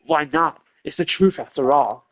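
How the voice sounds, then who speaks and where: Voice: medium pitch, moderate pace, fairly bright tone, slight background noise, close to an everyday voice. A fan voice actor voicing a smug, arrogant male detective who talks down to everyone, over game music. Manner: condescending, self-satisfied. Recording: game music playing underneath.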